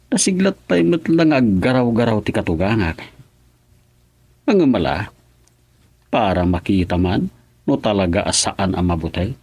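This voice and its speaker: A man's voice: on the low side, expressive, moderate pace, creaky, long pauses, a little mellow, husky, no room sound, full character voice. A man playing a cheerful, lighthearted son-in-law in a comic radio drama, chuckling often. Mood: amused.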